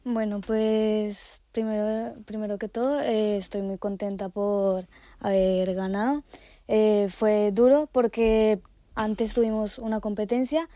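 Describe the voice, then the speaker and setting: A woman's voice: mid-range voice, steady tone, slow pace, mellow, no echo. A woman, an athlete answering conversationally in an interview. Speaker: athlete and coach, interview guest.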